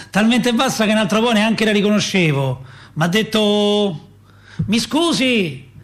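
High-voiced, emotional Roman man. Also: fairly bright tone, exaggerated voice. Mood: wistful, emphatic. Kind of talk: theatrical radio monologue, reciting verse and memories.

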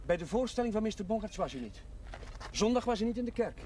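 An actor playing a restless, headstrong village chaplain in a television drama, earnest and wry, quick to retort. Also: male voice, high-pitched, lively intonation, darkish tone, slight background noise.

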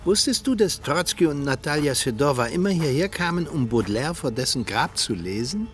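An actor playing a cold, philosophical Soviet spy handler in a radio drama, deliberate and dryly menacing. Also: male voice, a little high, animated, faint music behind, natural voice. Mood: brooding.

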